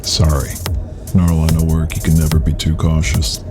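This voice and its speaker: An actor playing a sly, taunting rival agent and gem hunter in an audio drama, mocking and suave. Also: male voice, extremely low pitch, monotone, normal speed. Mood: smooth, taunting. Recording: loud music bed under dialogue.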